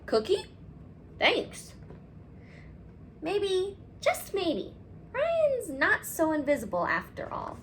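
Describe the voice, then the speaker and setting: A woman school teacher speaking expressively to young children as in a storybook read-aloud, affectionate and with gentle emphasis. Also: extremely high pitch, huge pitch swings, slow, slight background noise.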